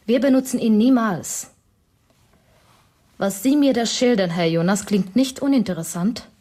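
Cool, haughty young executive. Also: female voice, a little high, a little slow, dark tone. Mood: cool, businesslike. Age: young adult. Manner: formal, businesslike, clipped. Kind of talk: German radio drama acting.

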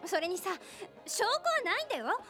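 A flighty, nosy young woman with a very high, girlish voice. Her chatty radio drama delivery swings up and down in pitch, coaxing and gossipy, with music faintly behind her. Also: very animated, fairly quick. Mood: defensive, pleading. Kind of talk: radio drama acting, casual conversational Japanese.

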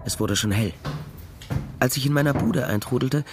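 A man's voice: medium pitch, normal speed, noisy background, lightly acted. An actor playing a dry, worldly detective who narrates his own case in a matter-of-fact, wry way. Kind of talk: scripted noir narration.

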